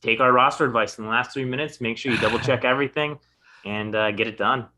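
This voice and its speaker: A man's voice: mid-range voice, fairly even intonation, fast talker, pushed and bright. A man co-hosting a fantasy football show, chiming in with short, laid-back remarks. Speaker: podcast co-host. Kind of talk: casual sports chat.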